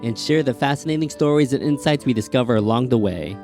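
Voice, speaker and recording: A man with a mid-range voice narrates a documentary introduction in a smooth, polished manner. Music plays clearly behind his voice the whole time. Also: mid-range voice, quick pace. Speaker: show narrator.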